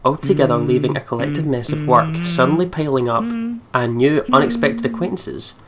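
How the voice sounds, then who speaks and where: A man's voice: mid-range voice, a little fast, over a noisy crowd. A man reading visual novel narration aloud for a let's play.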